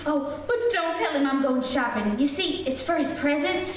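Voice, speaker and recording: A woman's voice: high voice, moderate pace, natural voice. A woman playing a polite, bossy teenage girl in an old-time radio comedy. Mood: polite, brisk. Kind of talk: 1940s radio comedy acting. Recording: echoey, distant, slightly noisy recording.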